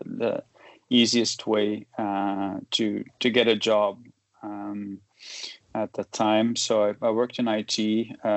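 Man, 40s, from Belgium, interview guest, low gravelly voice, dry close sound, halting with restarts. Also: no echo. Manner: halting, many restarts and fillers, chuckles while talking. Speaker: guest, photojournalist. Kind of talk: casual interview conversation.

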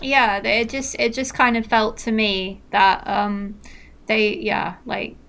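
A woman's voice: medium pitch, level tone, takes it slowly, fairly bright tone. Casual chat from a young woman with a faint mancunian accent, talks in loose rambling bursts, co-host vibe. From Manchester, England. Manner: chatty, rambling, with frequent fillers and laughs. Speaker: podcast co-host. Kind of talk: casual film discussion.

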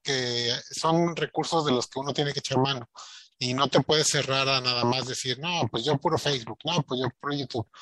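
A man's voice: mid-range voice, pushed and bright, no room sound. A man hosting a podcast in casual chat, rambling and filled with filler words. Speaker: podcast host.

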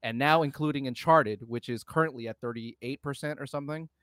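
Male podcast co-host: opinionated, exasperated and emphatic, swears freely, average pitch, close dry recording. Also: fairly quick, a bit bright, clean tone, no room sound. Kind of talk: casual opinionated film discussion.

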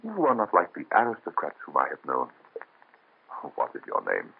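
Radio play lead: a devoted doctor, low husky voice, lo-fi vintage recording. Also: male voice, huge pitch swings, normal speed, heavily acted. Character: kind young male doctor of the Revolution. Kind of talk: 1930s radio drama acting. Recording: muffled lo-fi old radio transfer.

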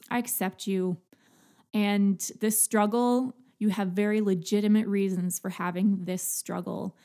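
A young adult woman hosting a podcast, talking to listeners in an earnest, halting personal monologue. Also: medium pitch. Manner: earnest, halting, soft-spoken. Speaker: podcast host.

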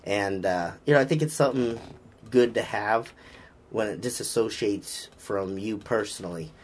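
Casting: Boston-accented man, lowish voice, easygoing strength coach on a podcast, faint hum behind. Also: moderate pace. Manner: rambling, frequent fillers and restarts, upbeat about lifting. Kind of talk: casual podcast chat.

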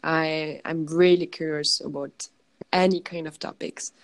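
Slow, hesitant French woman. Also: sits low, level tone. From France. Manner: hesitant, searching for words, laughs often. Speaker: guest. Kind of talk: casual interview.

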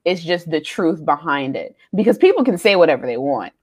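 A young woman in her twenties co-hosting a faith podcast, telling personal stories candidly with frequent pauses and ums. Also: low-pitched, big pitch swings, breathy edge. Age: young adult. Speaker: podcast co-host. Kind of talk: casual chat.

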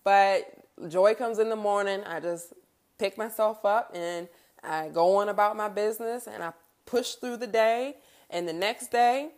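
A woman's voice: mid-range voice, a little slow, darkish tone. A woman of thirty talking candidly and casually in a reflective personal monologue, as a podcast host, in colloquial phrasing and often checking in with the listener.

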